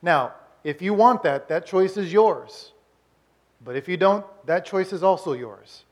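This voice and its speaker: A man's voice: high voice, animated, a little slow. A man in his forties preaching a conversational sermon as pastor, often restarting and repeating words. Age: 40s. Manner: conversational, repeats words, puts on quoted voices. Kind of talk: sermon recap.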